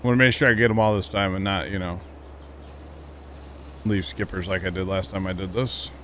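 A relaxed man with a low voice hosts a gaming livestream from his home setup. He chats loosely and laughs often, with a faint hiss under the sound. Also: normal speed. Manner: laid-back, chatty, laughs often. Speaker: livestream host. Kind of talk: casual gaming stream chat.

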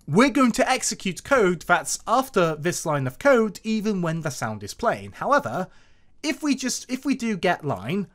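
A tutorial host with a very animated, swinging intonation explains things step by step. The delivery is scripted and instructional, moving methodically through a programming lesson as a voice-over. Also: mid-range voice. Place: voice-over to a screen recording.

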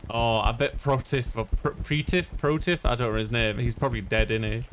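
A man's voice: medium pitch, steady tone, fairly quick, slight background noise. A man giving casual, jokey, rambling commentary as he plays a video game for an audience. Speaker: let's play streamer. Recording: music behind the voice. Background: game music.

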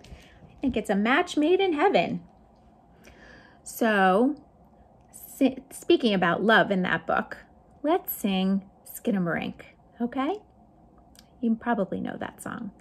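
A middle-aged woman reads a picture book aloud for young children. Her voice is high and she goes slowly. She is expressive and turns often to the listeners with small asides and questions. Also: slightly rough. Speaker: youth librarian hosting storytime.

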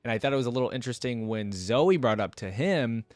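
A man's voice: mid-range voice, expressive, fast talker, a little mellow, dry recording. Young man, dry close-miked commentary. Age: young adult. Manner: rambling, informal. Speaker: reaction video host. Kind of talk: casual reaction commentary. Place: indoor room at a desk. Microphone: close desk microphone, headset worn.

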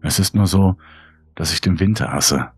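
A man's voice: low voice, moderate pace, husky, no room sound. A man reading a scripted horror story as a dramatic narrator. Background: music under the voice.

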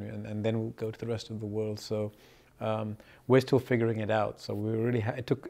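A man in his thirties speaks with a London accent and a fairly low voice. He answers interview questions in a thoughtful, searching way, often repeating a word or two before finding the phrase he wants. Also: creaky, a little mellow. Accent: London English. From born in Pakistan, grew up in the UK. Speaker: film director. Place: indoors in front of a film poster.

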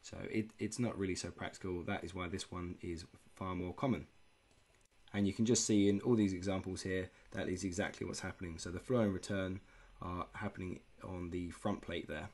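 Man, young adult, lowish voice, casual tutorial explaining, frequent ums and uhs. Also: fairly even intonation, a little fast, darkish tone. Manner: plain, unscripted explaining with frequent "uh" fillers. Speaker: engineering educator.

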